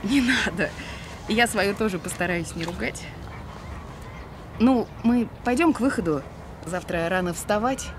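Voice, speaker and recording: A woman's voice: medium pitch, measured pace, long pauses, noisy background, lightly acted. Actress as courteous female dog owner in a radio play: casual, friendly, mid-range voice. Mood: friendly, tentative. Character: friendly woman dog owner arranging a walk. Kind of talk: audio drama acting.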